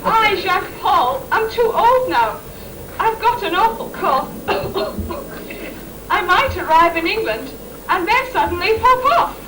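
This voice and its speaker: A woman's voice: extremely high pitch, very animated, takes it slowly, exaggerated voice. A woman playing a frail old businessman in an amateur rhyming Christmas pantomime. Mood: complaining. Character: frail old Swiss business partner with an awful cough. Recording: noisy roomy distant stage recording with audience.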